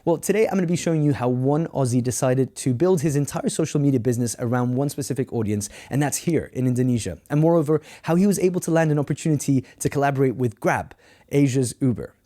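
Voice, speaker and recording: A man's voice: mid-range voice, fast, few pauses, dark tone. Chatty man hosting a podcast. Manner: chatty, jokey, with fillers and restarts. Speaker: podcast host. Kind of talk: casual interview chat.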